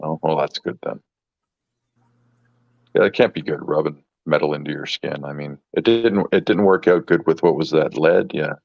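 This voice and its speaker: Deep voiced guy in his 50s, stop-start chat, kinda muffled dry sound. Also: creaky, no room sound. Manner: halting, repeats words, searches for words. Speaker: fish keeper. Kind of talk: casual chat. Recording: dull lo-fi sound.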